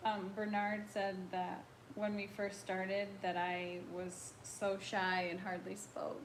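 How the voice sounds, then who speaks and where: A woman in her thirties co-hosting a casual live chat, talking quietly and with a dry wit. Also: medium pitch, little pitch movement, slow pace, slight background noise, rough recording. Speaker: co-host.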